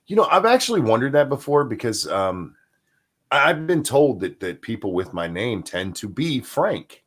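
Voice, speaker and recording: A man chatting casually in a blunt, deadpan way. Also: medium pitch, moderate pace, slightly rough. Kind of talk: casual chat.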